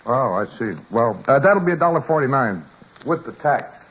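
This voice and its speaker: An actor playing a plain-spoken rural gas station attendant in a radio play, with folksy, easygoing talk. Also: male voice, mid-range voice, expressive, moderate pace, natural voice. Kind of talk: radio drama acting. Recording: dull lo-fi with faint hiss.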